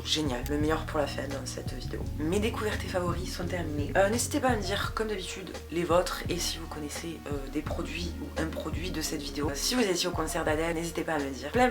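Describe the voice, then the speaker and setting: Chatty woman reviewing beauty products. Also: on the low side. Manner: chatty, hesitant with many fillers. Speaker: beauty vlogger. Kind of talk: casual product review chat. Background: background music.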